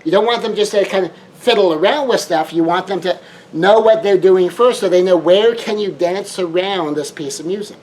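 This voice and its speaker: A man's voice: sits high, moderate pace, a little mellow, low-quality recording. Emphatic man giving a motivational talk. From Southern California. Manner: repetitive, emphatic phrasing with varied tempo. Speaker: life coach.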